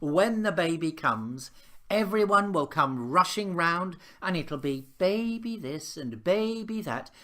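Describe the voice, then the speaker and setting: A man's voice: high voice, lively intonation, takes it slowly, sharp tone, voice pushed up, heavily acted. A man reading a children's picture book, voicing an indignant, worried little girl with an emphatic, petulant delivery. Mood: grumbling.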